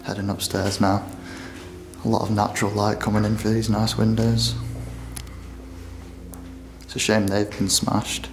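A British man exploring an abandoned building, giving calm, musing commentary. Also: on the low side, unhurried, gravelly, long pauses, music in the background. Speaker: urban explorer host.

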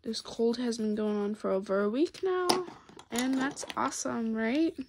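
A woman's voice: a little high, slight background noise. A woman with a cold, sounding stuffed up, chatting casually to her viewers while she colors. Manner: soft, unhurried, chatty with pauses. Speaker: coloring channel host. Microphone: overhead camera on hands.

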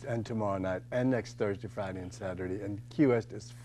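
A man's voice: lowish voice, steady tone, brisk, mellow. An older man hosting a campy talk show, chatty and teasing, speaking into a desk mic in a small studio with a faint hum.

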